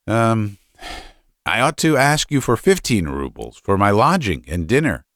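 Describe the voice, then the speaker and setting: A man plays a young student speaking to his father in a storybook tale. He is deferential and nervous, stumbling over repeated words in a hesitant, lively-pitched voice. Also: unhurried, no room sound, studio quality, full character voice. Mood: timid, hesitant. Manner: hesitant, stammering.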